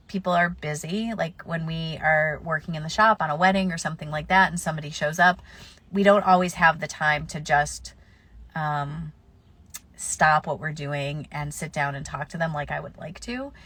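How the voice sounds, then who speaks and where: A woman's voice: sits low, a bit bright, no room sound. A woman florist with a slight cold answering viewer questions in a live stream, offering practical advice in a conversational way with plenty of fillers.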